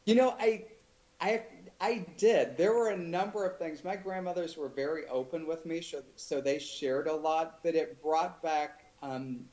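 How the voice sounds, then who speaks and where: Thoughtful, halting man in an interview. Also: fairly high pitch, darkish tone, dull audio. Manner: thoughtful, halting with many restarts and fillers. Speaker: author guest. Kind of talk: casual interview chat.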